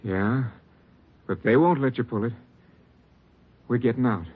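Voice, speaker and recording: An actor playing an uneasy, doubtful American prisoner with a husky voice, in a 1940s radio crime drama. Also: male voice, medium pitch, measured pace. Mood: wary. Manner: doubtful, questioning. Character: uneasy, doubtful male prisoner, bomber's brother. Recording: tinny, band-limited 1940s radio recording.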